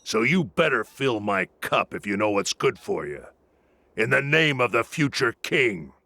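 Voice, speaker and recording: An actor playing an arrogant, bullying drunken army captain in a video game, with a gravelly rasp and a sneering, swaggering delivery. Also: male voice, medium pitch, sing-song, unhurried, sharp tone, no room sound, heavily acted. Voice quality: gravelly, raspy. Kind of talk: scripted video game voice acting.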